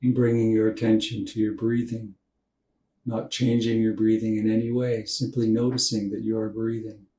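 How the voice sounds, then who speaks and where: A man leads a guided meditation in a soft, dark-toned voice, taking his time and leaving space between phrases. His delivery is gentle and calm, made for drifting off to sleep. The recording is roomy, with him sitting some distance from the microphone. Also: lowish voice, a little hiss or hum. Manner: calm, unhurried, gentle instruction with long pauses. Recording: roomy echo, distant from mic.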